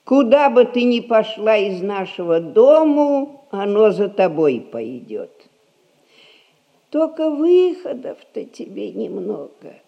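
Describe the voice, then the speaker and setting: An actress playing a stern, rich old grandmother who rules a merchant household, speaking in measured, folksy old-fashioned phrases in a stage comedy. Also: medium pitch, very animated, creaky, dark tone, highs cut off, heavily acted. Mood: imperious, unhurried. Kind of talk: Russian stage comedy recorded as radio play.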